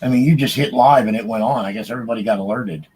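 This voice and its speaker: A man's voice: on the low side, racing, a little mellow, husky, poor audio. A man co-hosting a livestream in casual chat, often repeating short phrases. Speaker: podcast livestream co-host.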